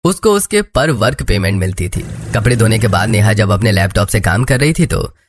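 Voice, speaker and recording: A man's voice: on the low side, expressive, normal speed. A man narrating a scripted cartoon story as its storyteller.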